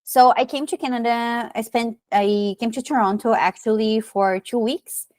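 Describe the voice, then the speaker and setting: A woman in her thirties from Brazil with a Brazilian Portuguese accent, a podcast guest telling her story in casual, animated chat. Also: on the high side, normal speed, no room sound, clean studio sound. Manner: many fillers and restarts, animated storytelling.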